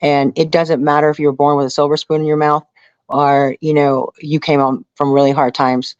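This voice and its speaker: A woman's voice: deep voice, flat delivery, moderate pace, a little mellow, clear, resonant tone, dry recording. A middle-aged American woman gives a casual, earnest interview. She rambles conversationally and leans on "you know" as she goes. The sound is close and dry, a little muffled on top. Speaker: interview guest, outdoor survival show contestant and angler.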